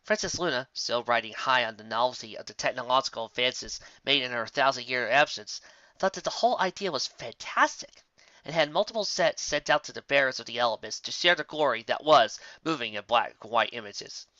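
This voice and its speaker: An amateur reader narrating a fan fiction story in an animated way, close to the microphone. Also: mid-range voice, a little fast, no echo. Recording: slightly dull, close dry recording.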